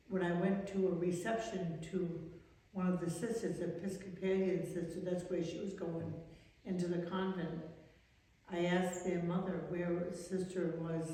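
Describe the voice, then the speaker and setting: An elderly woman in her eighties with a Maine accent, a religious sister reminiscing in an interview in a halting, rambling way. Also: lowish voice, mellow. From Maine, United States. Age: 80s. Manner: halting, rambling recollection with trailing sentences. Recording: echoey reverberant room, distant mic.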